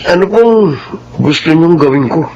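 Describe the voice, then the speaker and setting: Slight noise sits behind a young household driver in a melodrama. His voice is fairly high for a man, respectful and earnest, the sound of an honest, principled servant. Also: expressive, moderate pace, darkish tone, highs cut off. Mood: humble. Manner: deferential, earnest. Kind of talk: Filipino radio-style melodrama acting.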